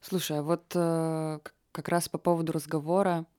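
A woman's voice: low-pitched, flat delivery, normal speed, warm tone, no echo. Playful woman host, dry close sound. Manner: playful, jokey, laughs easily. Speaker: podcast host. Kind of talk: casual podcast interview.

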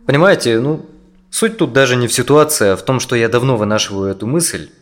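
An actor playing a tense, dissatisfied male therapy client in his thirties, speaking haltingly in a naturalistic audio drama. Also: medium pitch, soft background music, close to an everyday voice. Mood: frustrated, angry. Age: 30s. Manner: hesitant, halting, sighing speech.

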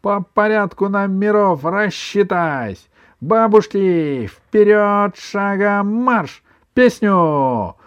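A man's voice: fairly high pitch, very animated, unhurried, darkish tone, dull audio, pitched above the performer's own voice. Man playing a stubborn, cheeky young grandson. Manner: defiant, emphatic, argumentative. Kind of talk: children's story reading.

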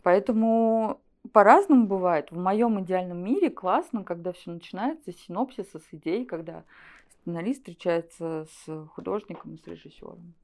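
A woman's voice: fairly low pitch, moderate pace, a little mellow. A woman speaking as a studio guest in an interview, explaining things conversationally with examples.

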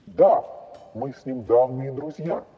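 A man's voice: mid-range voice, slow, lightly acted. A man playing a kindly, enigmatic old magical storyteller in a children's fairy-tale podcast. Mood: pleased. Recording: dull sound, long reverb, faint noise.

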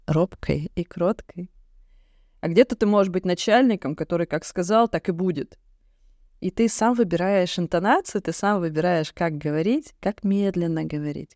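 A woman's voice: lowish voice, warm tone, dry recording, clean studio sound. A woman co-hosting a podcast, chatting casually and expressively with a friend and explaining things with examples. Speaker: podcast co-host. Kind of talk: casual chat between friends.

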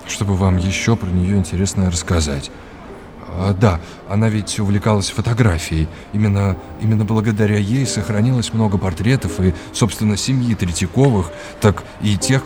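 An actor playing a courteous, erudite art lecturer in an audio drama, with an old-fashioned, didactic way of talking. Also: male voice, lowish voice, moderate pace, natural voice. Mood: helpful, informative.

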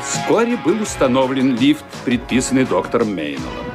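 An actor narrating a detective radio play as a wry storyteller, in dramatised scripted narration. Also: male voice, mid-range voice, huge pitch swings, normal speed. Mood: wry storytelling. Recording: radio play with faint music behind.

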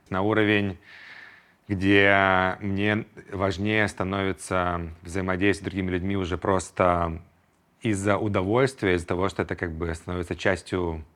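A man's voice: low-pitched, fairly bright tone. A man in his thirties hosting a solo podcast, talking reflectively and acting out his inner voices in quoted asides. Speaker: podcast host.

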